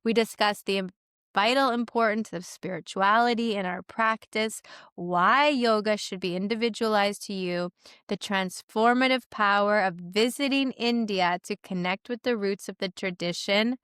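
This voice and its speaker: A woman from Canada hosting a podcast, enthusiastic and gushing, her voice a bit raspy from a cold. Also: mid-range voice, normal speed, a bit bright, no room sound, studio quality. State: getting over a cold, raspy. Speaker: podcast host. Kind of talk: podcast intro and interview. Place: home in Sweden.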